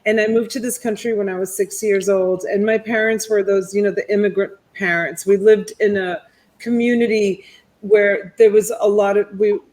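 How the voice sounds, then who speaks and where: A middle-aged woman from Italy, whose first language is Italian, tells a personal story at a medium pitch. She hosts a casual live chat and rambles through her story with fillers and restarts. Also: fairly even intonation, normal speed, a little mellow. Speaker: host.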